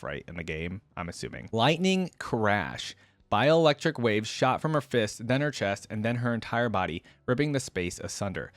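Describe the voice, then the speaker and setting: A man's voice: on the low side, no echo. A man reacting as he reads a story aloud, emphatic in delivery, dropping in casual commentary. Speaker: host of a reaction channel.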